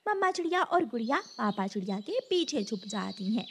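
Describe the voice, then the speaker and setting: A woman's voice: on the high side, big pitch swings, fairly quick, a little hiss or hum. A woman storyteller reading scripted narration for a children's cartoon.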